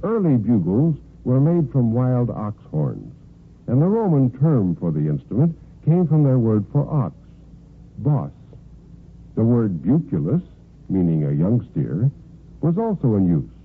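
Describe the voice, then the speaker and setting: A man's voice: mid-range voice, huge pitch swings, a little slow, slightly rough. A man working as a radio announcer, reading scripted vintage narration in a formal, declamatory way. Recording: muffled, phone-thin old radio recording.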